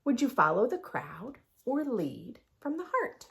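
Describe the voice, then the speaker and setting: A woman reading a picture book aloud for young children in an expressive, sing-song storytelling manner, adding her own asides. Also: fairly high pitch, a little slow, gravelly. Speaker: children's read-aloud host.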